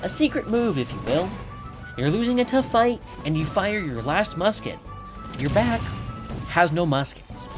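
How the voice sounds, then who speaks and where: Guy doing a super bouncy game tutorial narrator voice, swoopy pitch, music playing behind. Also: a little slow. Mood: instructive, teasing. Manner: lively, sing-song guide delivery. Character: hyped-up male game-guide narrator persona. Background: clear background music.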